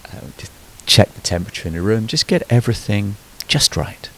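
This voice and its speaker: A man leading a guided sleep meditation, giving soothing, unhurried instructions with frequent pauses. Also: lowish voice, expressive, a bit bright, poor audio. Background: background music.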